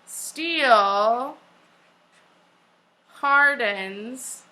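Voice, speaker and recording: A woman with a high, bright voice leads an elementary lesson. She speaks slowly and deliberately, repeating key phrases and questions as an instructor does. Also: poor audio. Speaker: elementary school teacher. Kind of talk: classroom read-aloud lesson. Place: classroom.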